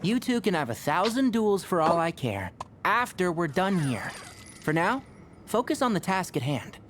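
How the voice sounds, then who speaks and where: An actress playing a no-nonsense, sharp-tongued young woman leading an expedition in a video game, dry and commanding. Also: lowish voice, big pitch swings, normal speed, background noise. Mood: exasperated, firm. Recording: game music clearly behind voice.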